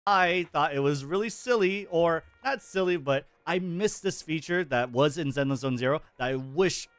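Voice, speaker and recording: A man giving a scripted video commentary, explaining his points in a measured way. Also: fairly high pitch, clean tone, dry recording. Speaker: video creator.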